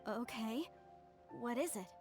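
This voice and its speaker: An actress playing a proud, earnest young archaeologist in a video game, speaking in a prim, emphatic way. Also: female voice, a little high, a little slow, music in the background, close to an everyday voice. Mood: curious.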